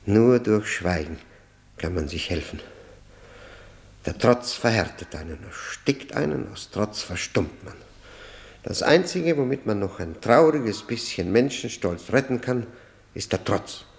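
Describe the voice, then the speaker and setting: An actor playing a weary, defiant middle-aged prisoner in a radio drama, speaking haltingly and bitterly. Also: male voice, fairly low pitch, lively intonation. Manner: halting, repeating words, bitter. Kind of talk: 1960 German radio drama acting.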